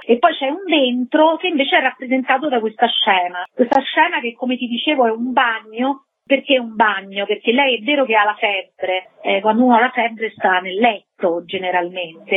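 Theatre director interviewed by phone: female, raised pitch, emphatic explanations, thin narrowband line. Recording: thin, tinny telephone line.

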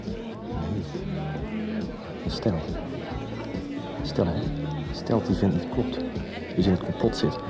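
A young man as low-voiced friend Bert. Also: huge pitch swings, takes it slowly, long pauses, loud music behind the voice, over a noisy crowd, heavily acted. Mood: wary. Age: young adult. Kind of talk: 1990s radio soap acting.

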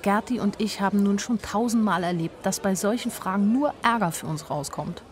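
A woman's voice: medium pitch, moderate pace, lightly acted. An actress playing a naive, wryly observant young woman, narrating a radio drama in a dry, matter-of-fact way. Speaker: first-person narrator.

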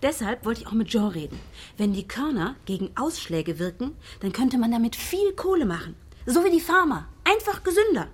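An actress playing a brusque, impatient shop saleswoman in a radio play, with a husky voice. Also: fairly high pitch, sing-song, normal speed. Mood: chatty, uneasy. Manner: blunt, impatient. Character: bored, brusque phone-shop saleswoman, gossipy and squeamish.

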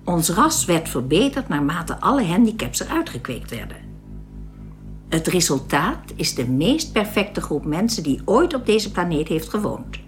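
A woman's voice: lowish voice, big pitch swings, a little slow. An actress playing a serene, proud young alien woman of a perfected race in a science-fiction radio drama, speaking in a composed, instructive way. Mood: proud, matter-of-fact.

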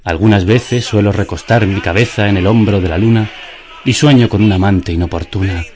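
An actor playing a deadpan, pedantic philosopher of the absurd in a radio theatre dialogue. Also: male voice, fairly low pitch, fairly quick, a little hiss or hum, lightly acted. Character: deadpan absurd philosopher musing over news headlines.

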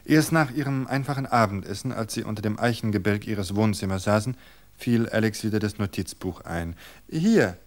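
A man narrating a novel in a calm, measured storytelling voice. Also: lowish voice.

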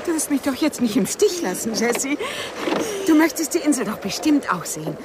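Dreamy, unhinged woman amid loud wind. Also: high-pitched, big pitch swings, brisk. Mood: coaxing, rapt. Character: dreamy, unhinged woman coaxing a child out to sea. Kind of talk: German radio play acting. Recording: loud wind, water and boat noise. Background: sea wind and rowing boat sounds.

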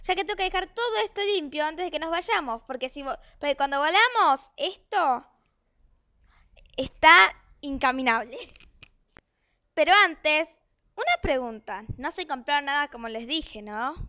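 A woman plays a bossy Argentine mother in a radio play, harried and watching every peso. Her voice is extremely high and bright, on a dull recording. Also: animated, normal speed. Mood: nagging. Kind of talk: amateur radio play acting.

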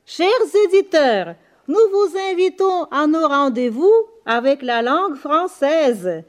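A woman hosting a scripted bilingual radio language lesson as guide and interpreter, formal and deliberate, repeating phrases. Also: sits high, animated.

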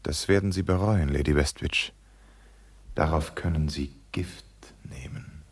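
An actor playing a carefree, charming young gentleman in a radio play. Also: very deep voice, unhurried, dry recording, exaggerated voice. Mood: menacing. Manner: breezy, nonchalant, smooth.

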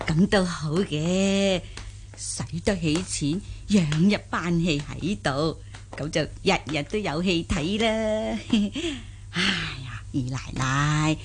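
Old peasant granny, low slow voice, hesitant, easily amazed, Cantonese audio drama, slight noise. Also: female voice. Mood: amazed, wistful. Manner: hesitant, simple, wondering.

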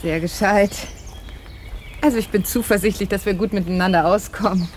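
A woman acts a self-assured, affluent lady of the house in a 1980s radio play. Her voice sits at an average pitch, in a roomy, audibly noisy recording. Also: steady tone, normal speed, darkish tone, close to an everyday voice. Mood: gracious. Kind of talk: German radio play acting.

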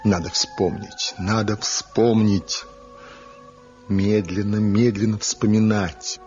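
An actor playing Zhivko, a proud, envious rival woodcarver, musing slowly in a 1970s radio play. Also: male voice, fairly low pitch, level tone, darkish tone. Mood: brooding. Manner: brooding, slow inner monologue, proud. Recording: dull lo-fi old radio recording with faint music.

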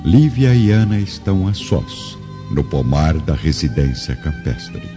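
A man's voice: extremely low pitch, sing-song, a little slow. A man with a Brazilian accent narrating a scripted radio drama in a solemn, dramatic manner. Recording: dull lo-fi audio with clear music bed.

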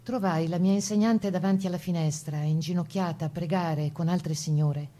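A woman playing Italian partisan women who recall the Resistance, reading their testimony for radio in an earnest, measured way. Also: on the low side, fairly even intonation, dark tone, natural voice. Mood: grave, resolute.

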